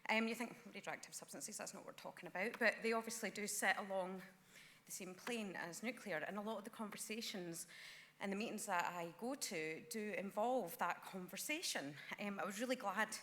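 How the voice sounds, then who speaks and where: Woman delegate, earnest floor speech with ums; bright, forceful tone; long hall reverb. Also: mid-range voice, moderate pace. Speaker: councillor speaking at a party conference. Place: large conference hall.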